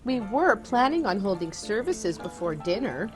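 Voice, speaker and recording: A woman's voice: medium pitch, very animated, normal speed, music in the background, lightly acted. A woman playing a prim, matter-of-fact elderly aunt in a radio comedy. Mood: prim, certain. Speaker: radio play actor. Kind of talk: theatrical radio comedy acting.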